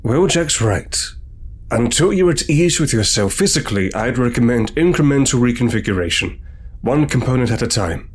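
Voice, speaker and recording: A man playing a fussy, absent-minded robot doctor giving measured clinical advice. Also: mid-range voice, lively intonation, slightly rough. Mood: advising.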